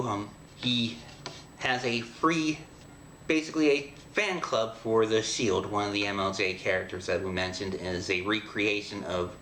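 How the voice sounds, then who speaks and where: Man, middle-aged, lowish voice, casual show host, halting conversational delivery, roomy echo. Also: a bit bright. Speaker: host of an audio drama show. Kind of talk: casual show introduction to camera. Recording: echoey room with background hiss.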